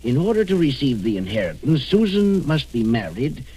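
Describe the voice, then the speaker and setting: An actor playing an insistent, callous middle-aged family lawyer, formal and argumentative, in 1940s radio drama style. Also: male voice, mid-range voice, big pitch swings, normal speed, mellow, breathy edge, low-quality recording. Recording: old 1940s radio recording.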